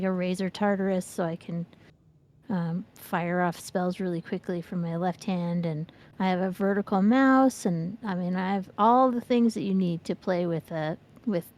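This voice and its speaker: A woman's voice: on the low side, a little mellow. A woman in her fifties chatting casually and candidly about gaming as a streamer. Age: 50s. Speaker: game streamer, co-host.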